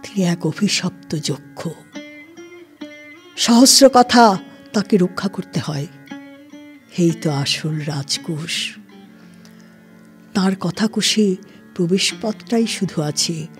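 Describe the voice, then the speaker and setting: Female narrator, very slow pace, formal literary storytelling, soft plucked-string music behind. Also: mid-range voice.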